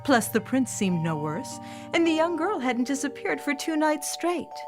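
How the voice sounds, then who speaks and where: High-voiced woman narrating a children's fairy tale. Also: very animated, normal speed. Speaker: storyteller. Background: music.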